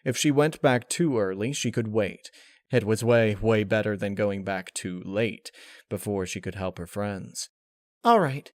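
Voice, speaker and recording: A man narrating a scripted dark fan fiction story with dramatic storytelling delivery. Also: lowish voice, fairly even intonation, measured pace, no room sound, clean studio sound.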